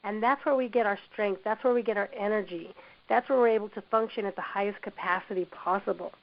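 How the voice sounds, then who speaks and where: A woman with a hoarse voice from a cold, presenting a workplace training webinar in an encouraging manner, often saying "you know". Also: mid-range voice, steady tone, quick pace. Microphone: computer mic on a webinar call. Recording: dull lo-fi web audio.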